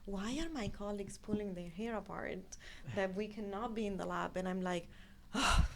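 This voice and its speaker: A woman's voice: mid-range voice, normal speed, vocal fry, a little hiss or hum. Chatty Italian woman telling her story. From Italy. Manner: chatty, tangent-prone, self-aware. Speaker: guest scientific editor. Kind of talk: conversational interview storytelling.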